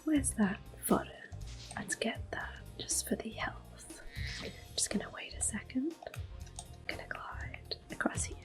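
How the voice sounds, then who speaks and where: A woman whispering close to the mic as she calmly plays through an old game and recalls it fondly. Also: fairly high pitch, measured pace, creaky. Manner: soft whispering, gently nostalgic. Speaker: ASMR gameplay host. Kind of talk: whispered ASMR game commentary. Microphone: close sensitive mic. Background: faint game music.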